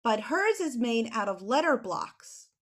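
An American woman with a fairly high voice talks to children on a video call. She explains things simply and casually, in an encouraging manner. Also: big pitch swings, a little slow, a bit bright. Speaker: children's author and illustrator as guest. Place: small home room with bookshelf, indoors. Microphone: computer mic on video call.

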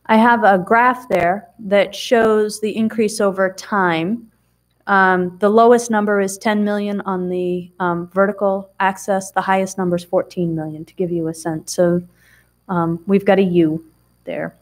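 A woman's voice: mid-range voice, fairly even intonation, a little slow. A middle-aged woman presenting audit figures to a town council in a chatty, explanatory way with frequent ums and restarts, recorded on a table mic in a council chamber.

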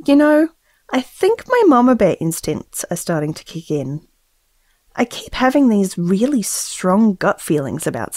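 A tender expectant mother played by a woman speaks to her baby in an intimate audio drama monologue. Her delivery is slow and musing, with an animated, varied pitch and wry self-mockery. The sound is close and dry. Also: no room sound.

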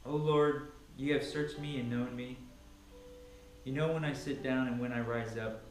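A man's voice: medium pitch, steady tone, normal speed, slight background noise. A man speaking as a Bible reader at home, recorded in a large room by a camera some distance away. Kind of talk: casual introduction, then dramatic scripture reading. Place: large, sparsely furnished living room at home. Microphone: camera a few metres away. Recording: echoey room, picked up from a distance.

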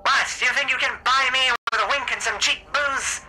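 A man's voice: very high voice, fairly even intonation, fairly quick, bright, forceful tone, faint music behind, exaggerated voice. A man voicing a cranky, blunt, foul-mouthed demon gambler and bartender. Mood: irritated. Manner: irritable, swearing, blunt.

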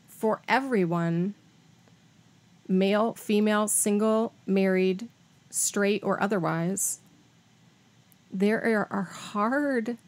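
A woman's voice: medium pitch, a little mellow. A woman speaking as a podcast guest on faith, in a thoughtful, conversational way.

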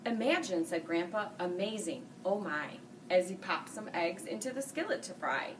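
A woman in her forties reading a children's picture book aloud, dropping low and soft for the older characters. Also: lively intonation, moderate pace. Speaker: school staff reading buddy. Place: small room, seated before a green-screen farm backdrop. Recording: distant mic with faint hiss.

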